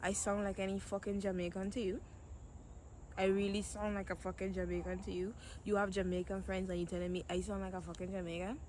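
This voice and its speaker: A woman's voice: mid-range voice, flat delivery, brisk, darkish tone, slight background noise. A woman with a Caribbean accent in casual conversation, blunt, indignant and sarcastic.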